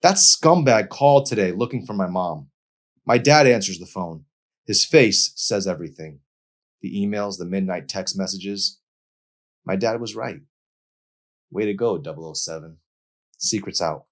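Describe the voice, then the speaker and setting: A man's voice: sits low, a little slow, long pauses, voice pushed up. A man voicing an angry, hurt young woman in an audiobook, demanding and confrontational. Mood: bitter, accusing. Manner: indignant, confrontational.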